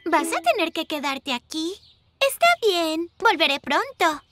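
A woman voicing a sweet, lively little girl who is fond of her pet, in a children's cartoon dub. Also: very high-pitched, unhurried, very noisy, exaggerated voice. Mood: gently secretive. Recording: loud children's music over the voice.

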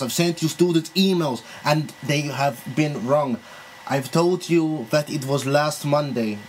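Creepy, pleading lecturer, played by a man, halting prank-call delivery, slightly noisy amateur recording. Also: on the high side, natural voice. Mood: stern, exasperated. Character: lovesick creepy Italian male university lecturer.

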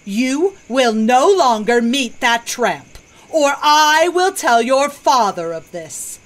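A woman voicing a proud, stern lioness queen and mother in a regal, measured manner for a comic dub. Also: high voice, lively intonation, poor audio. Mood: scolding. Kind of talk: comic dub character acting.

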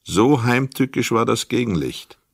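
A man's voice: medium pitch, expressive, unhurried, creaky, slightly rough, dry recording, clean studio sound. A man narrating a crime radio play in a scripted, literary storytelling style. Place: dry studio.

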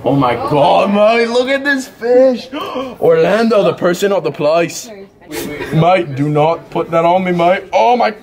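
A man playing a brash, bumbling male wildlife poacher in an improvised comedy skit, excitable and tacking "mate" onto everything. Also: high-pitched, unhurried. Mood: boastful. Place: school building, indoors. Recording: echoey halls with crowd chatter. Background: students chattering.

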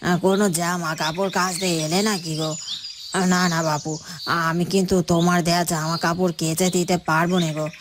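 A woman's voice: lowish voice, flat delivery, fairly quick, close to an everyday voice. A woman voicing a plain-spoken village washerwoman in a cartoon, with a folksy, complaining manner. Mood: grumbling. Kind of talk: cartoon voice acting. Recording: noisy track with birdsong.

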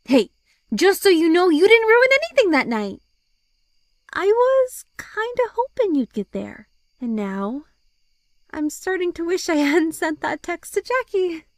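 A woman's voice: very high voice, very animated, a little slow, dry recording, clean studio sound, exaggerated voice. A woman voicing an anxious, impulsive teenage girl with magic, emotional and fretful, in a cartoon comic dub. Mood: surprised, uneasy. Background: background music.

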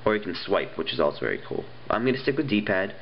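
A man's voice: fairly low pitch, sing-song, quick pace, muffled recording, noisy background. A young man with a stuffy nose chatting casually and rambling as he reviews phone games at a table, with plenty of ums and restarts. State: stuffy nose, getting sick. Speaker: app reviewer. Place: indoors at a wooden table.

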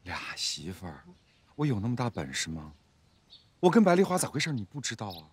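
A man's voice: a little high, sing-song, moderate pace, a bit bright, husky, no echo, exaggerated voice. An actor in a TV drama playing a put-upon young adjutant, impatient and whiny as he protests. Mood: sullen, impatient. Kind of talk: TV drama acting.

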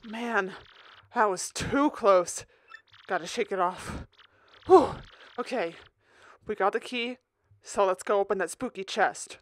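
A woman plays a young turtle lad from a video game. He is friendly, earnest and a bit nervous, talking slowly in a dry, close recording. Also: mid-range voice, no room sound, natural voice. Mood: relieved, nervous. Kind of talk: fan voice-over for a video game.

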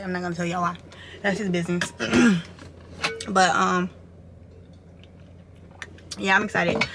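A woman in her twenties chatting casually and informally to her viewers as a vlogger. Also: mid-range voice. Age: 20s. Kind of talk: casual vlog chat. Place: parked car and a room at home, indoors. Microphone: camera at arm's length or propped close. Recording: noisy ambient camera audio.

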